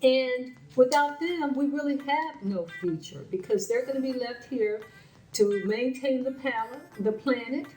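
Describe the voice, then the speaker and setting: Slightly raspy woman hosting, earnest and repetitive, recorded roomy and far from the mic, soft music under her voice. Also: high voice, unhurried. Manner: earnest, repeats phrases for emphasis. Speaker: show host. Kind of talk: spoken commentary to camera. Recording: roomy sound from a distant mic with faint music.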